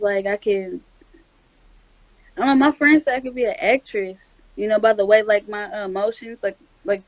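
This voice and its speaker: A woman's voice: medium pitch, dry recording. Rambling young woman, dry lo-fi sound. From Southern United States. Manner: rambling, says "like" a lot, sometimes loud, sometimes monotone. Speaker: guest voice actress. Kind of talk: casual interview answers.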